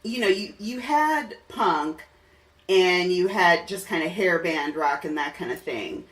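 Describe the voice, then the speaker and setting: A man's voice: high-pitched, expressive, unhurried, a bit bright. A middle-aged man hosting a casual podcast interview, musing in a wordy way and building his points in loose steps. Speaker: podcast host interviewing a musician. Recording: roomy, distant mic, faint music.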